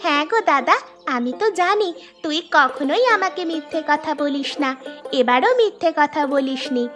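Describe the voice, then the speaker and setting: A woman's voice: high-pitched, normal speed, fairly bright tone, soft background music. A woman voicing a sweet, trusting little village daughter in a cartoon drama. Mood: happy, hopeful.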